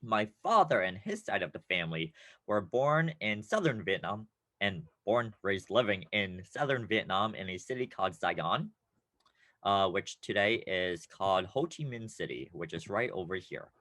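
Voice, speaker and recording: A man's voice: fairly low pitch, moderate pace, no room sound. Upbeat man teaching a class lesson. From United States. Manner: upbeat, playful, with bursts of excitement. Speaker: teacher presenting a class video.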